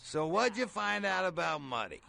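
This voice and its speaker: A man's voice: sits high, very animated, moderate pace, vocal fry, noisy background, heavily acted. An actor in a video game playing a slick, chatty male bail bondsman with a salesman's manner. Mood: breezy.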